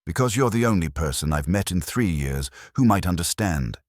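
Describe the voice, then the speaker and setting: A man voicing a weary, haunted soldier burdened by guilt in a story narration, speaking gravely and confessionally in short halting sentences. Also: deep voice, expressive, no echo, studio quality. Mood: weary, bitter.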